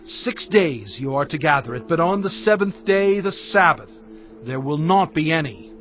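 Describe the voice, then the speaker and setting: A man's voice: on the high side, expressive, normal speed, close to an everyday voice. A man playing Moses as a stern leader, speaking in an earnest, emphatic way, on dull audio with faint music. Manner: earnest, emphatic preaching delivery.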